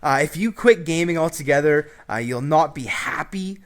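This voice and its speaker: A man's voice: on the high side, expressive, moderate pace. A young man hosting a casual advice show, brash and joking, with some swearing. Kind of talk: casual advice commentary over gameplay.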